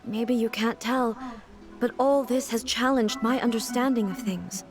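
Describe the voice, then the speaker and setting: A woman voicing a dignified immortal ruler in a video game, speaking in a measured, formal, thoughtful way. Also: fairly high pitch, over music. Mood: solemn, reflective.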